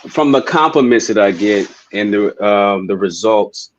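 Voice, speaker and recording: A man in a casual spiritual discussion, talking calmly and measured with frequent pauses. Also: lowish voice, lo-fi sound. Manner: calm, measured, pausing with ums.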